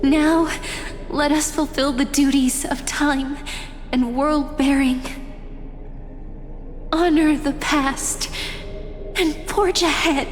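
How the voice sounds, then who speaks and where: A woman voicing a gentle young guardian of time in a game cutscene, soft, wistful and often whispery. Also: high voice, fairly even intonation, slow, pauses often, slight background noise. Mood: tender. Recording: reverberant with music behind.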